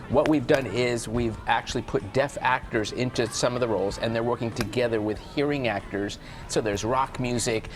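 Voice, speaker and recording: A man with a deep voice interpreting for a deaf guest, speaking her answers in the first person in a TV studio interview. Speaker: sign language interpreter voicing a guest.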